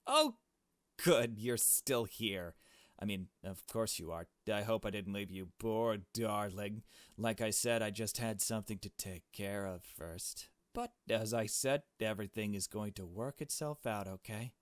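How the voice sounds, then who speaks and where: A man's voice: fairly low pitch, a little slow, no echo, clean studio sound, lightly acted. Man playing a falsely reassuring, creepy kidnapper. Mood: falsely soothing. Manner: patronizing, over-familiar.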